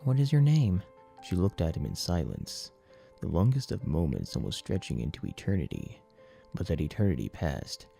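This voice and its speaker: A man narrating dramatic fiction in a solemn, deliberate storytelling manner. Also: a bass voice, vocal fry, dark tone. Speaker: audiobook narrator. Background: music.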